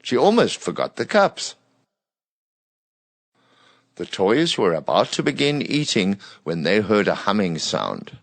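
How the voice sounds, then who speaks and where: A man narrating a scripted bedtime story for children in an expressive read-aloud manner. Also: fairly low pitch, unhurried, vocal fry, long pauses, dry recording.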